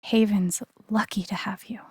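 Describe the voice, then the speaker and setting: A woman playing an earnest, wounded young woman in a naturalistic video game drama, speaking breathily with slow, deliberate, emotionally weighted phrasing. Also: medium pitch, fairly even intonation, husky, no echo, studio quality. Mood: solemn, measured. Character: earnest, wounded young woman confronting betrayal with quiet conviction.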